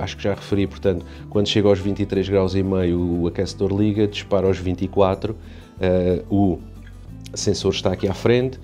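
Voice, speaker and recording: A man's voice: low voice, mellow. A Portuguese man with a European Portuguese accent, chatting casually and rambling a little as he walks through an update of his home aquarium. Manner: chatty, rambling, many restarts and fillers. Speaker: aquarium hobby youtuber. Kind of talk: casual walkthrough narration.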